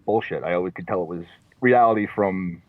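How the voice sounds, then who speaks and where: A man's voice: low-pitched, fairly quick, dry recording. A man, a comedian guest chatting and joking, recorded in his car. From New York. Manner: joking, repeats words when starting. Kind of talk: casual chat. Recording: tinny phone-like sound.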